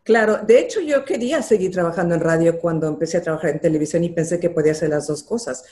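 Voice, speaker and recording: A woman's voice: fairly low pitch, fast, darkish tone. An older woman, a journalist being interviewed, telling her career story in an earnest, reflective way with some fillers. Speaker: interview guest, television journalist.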